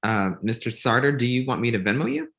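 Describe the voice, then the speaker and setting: A man's voice: medium pitch, wildly swooping pitch, fast talker, creaky, fairly bright tone, full character voice. A man playing a self-assured, friendly young friend with an easygoing manner in a comedy table read. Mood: polite.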